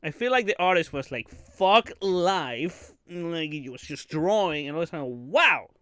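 Casual male streamer, slightly high voice, dry close mic, giggles a lot while rambling. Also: lively intonation, moderate pace, a bit bright, clean tone, no room sound. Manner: giggly, rambling, crude jokes, frequent laughter. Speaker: streamer reacting to anime trailers. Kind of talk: casual live-stream reaction chat.

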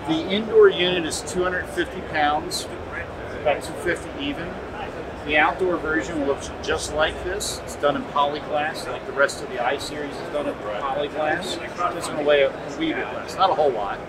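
A man in his forties giving a measured, technical product demonstration as a company representative. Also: on the high side, very noisy. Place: busy indoor convention hall booth. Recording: loud trade show hall, distant mic. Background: loud exhibition hall ambience.

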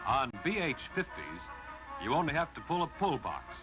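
A man's voice: a little high, very animated, moderate pace, low-quality recording. An American man narrating a 1950s instructional film in an emphatic, clipped, instructive manner. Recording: vintage film soundtrack with music.

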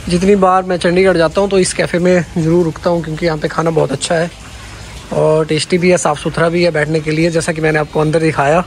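A man's voice: a little high, flat delivery, fast talker, slight background noise. A young man, a travel vlogger, chatting casually to viewers while on a road trip, filmed on a phone camera inside a car. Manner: chatty, addresses viewers directly. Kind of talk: casual travel vlog narration.

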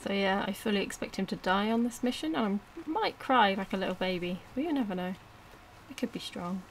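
A woman's voice: mid-range voice, animated, fairly quick. Chatty woman gamer, noisy game backdrop. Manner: informal, muttering to herself and the game. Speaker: gaming video host. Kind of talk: casual let's-play commentary.

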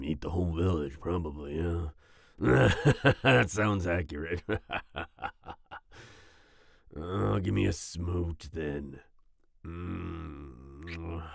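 A man playing a big, grumpy werewolf boyfriend with a growling, husky voice and a soft side, in an ASMR roleplay. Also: sits low, dry recording, clean studio sound, full character voice. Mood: grudgingly affectionate. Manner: grumbling, slow, with grunts and growls.

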